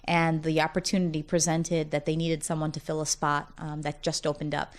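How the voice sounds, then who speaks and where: A woman's voice: low-pitched, flat delivery, fairly quick. A woman in her forties, a college dean, chatting in an upbeat, talkative way during an interview. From United States, Massachusetts. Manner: talkative, upbeat storyteller who often laughs while talking. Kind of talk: casual interview conversation.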